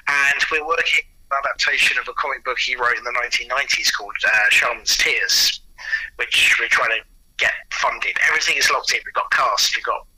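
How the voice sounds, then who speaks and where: A man's voice: mid-range voice, a little fast, sharp tone, husky, poor audio. A British man chatting enthusiastically as an interview guest, telling stories in a casual way. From Britain. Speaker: interview guest, audio producer and actor.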